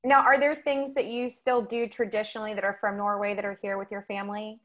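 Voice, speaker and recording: A woman's voice: mid-range voice, level tone, a little fast, a bit bright. An upbeat woman hosts a conversational podcast. She introduces her guest with enthusiasm and asks easygoing follow-up questions. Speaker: podcast host. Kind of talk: casual interview hosting.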